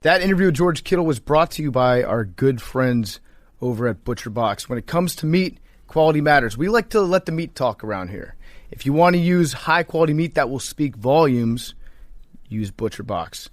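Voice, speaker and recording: A man's voice: mid-range voice, expressive, normal speed, dry recording. Guy podcast host, casual joking ad read, mid voice, close dry sound.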